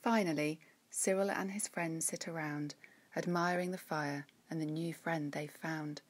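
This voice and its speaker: A woman reading her own rhyming children's story in a gentle, measured, expressive way. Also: deep voice.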